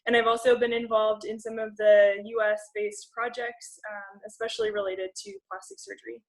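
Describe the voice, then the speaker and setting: A young woman from the United States speaks in a fairly high voice over a video call. She sounds cheerful and chatty. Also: moderate pace, low-quality recording. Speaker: medical student.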